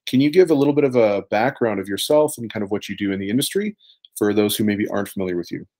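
A man's voice: lowish voice, fast talker, warm tone. A man hosting a podcast, opening the show and questioning his guest in an upbeat, casual way. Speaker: podcast host.

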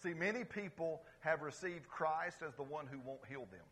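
Male pastor, fairly high, bright and husky; animated sermon, calls on the congregation. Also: normal speed. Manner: swings between loud exclamations and quiet asides. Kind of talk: lively conversational sermon. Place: church service before a congregation.

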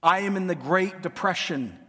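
A man preaching a sermon, emphatic and dramatic, with pauses and swings between hushed and forceful delivery. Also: high-pitched, husky. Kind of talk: sermon, expounding scripture with dramatized paraphrase. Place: large reverberant hall, preaching to a congregation. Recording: long hall reverb.

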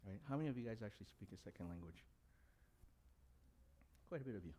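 A man's voice: lowish voice, expressive, fast talker, mellow, husky. A young adult man, a pastor whose first language was Cantonese, preaching a conversational sermon and putting questions to the congregation. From grew up in an English-speaking country.

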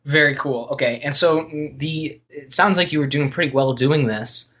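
A man's voice: fairly high pitch, normal speed, fairly bright tone. Rambling, friendly-voiced man hosting. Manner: loose and rambling, lots of "um" and self-corrections. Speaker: podcast host. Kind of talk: casual interview over a call.

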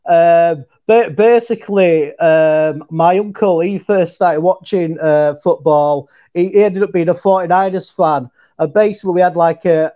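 High-voiced man with a Yorkshire accent. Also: highs cut off. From Leeds, northern England. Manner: hesitant, many fillers, says mate a lot. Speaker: guest. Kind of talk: casual chat.

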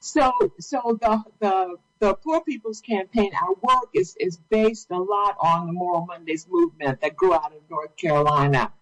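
A woman speaking as a guest on a labor radio show, answering at a measured pace and repeating words as she gathers her thoughts. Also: fairly low pitch, a bit bright, poor audio.

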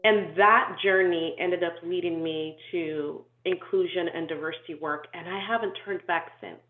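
A woman who grew up in Nigeria, talking through her life story in an interview, thoughtful and measured, with frequent ums and restarts. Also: on the low side, fairly even intonation. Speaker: inclusion and diversity consultant, guest. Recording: tinny echoey phone-like call audio.

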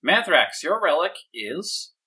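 A man's voice: sits high, big pitch swings, takes it slowly, sharp tone, slightly rough. A man running a tabletop game as game master, explaining rules with dry, joking asides. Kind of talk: tabletop game chat and trailer narration.

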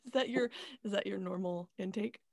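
A woman's voice: mid-range voice, fairly quick, gravelly, darkish tone, no echo, clean studio sound. A woman hosting a podcast, earnest and musing in casual chat, swearing freely. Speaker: podcast host.

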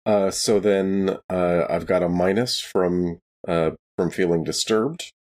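Deep-voiced man player checking the rules. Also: darkish tone. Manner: deliberate, checks rules aloud. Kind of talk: tabletop roleplay chat.

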